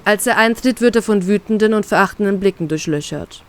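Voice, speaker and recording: Woman reading her fanfic out loud, steady and plain. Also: mid-range voice, brisk. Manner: steady plain read-aloud narration. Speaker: fan fiction reader. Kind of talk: reading fan fiction aloud.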